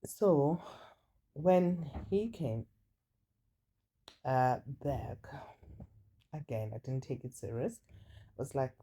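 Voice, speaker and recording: A woman with a deep voice casually telling a personal story, chatty and confiding, often repeating words for emphasis. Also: slow pace, long pauses, warm tone, slight background noise. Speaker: storytime vlogger. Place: indoors while rain falls outside. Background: light rain.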